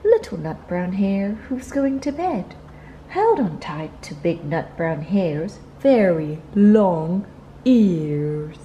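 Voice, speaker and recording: A woman storyteller reading a children's picture book aloud in an expressive, deliberate way. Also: lowish voice, dull audio, a little hiss or hum.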